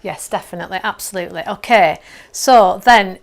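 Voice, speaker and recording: A woman's voice: mid-range voice, brisk, gravelly. A woman in her fifties, co-author and workshop host, chatting casually on a live stream and freely agreeing with her co-host. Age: 50s.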